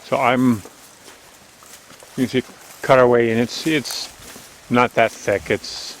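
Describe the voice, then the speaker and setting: Loud-voiced man chatting on a woodland walk. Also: medium pitch, steady tone, slow, a little hiss or hum, low-quality recording. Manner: strong, loud voice, chatty and rambling. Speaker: channel host. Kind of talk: casual walking vlog narration. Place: outdoors on an overgrown woodland logging road. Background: faint outdoor nature ambience.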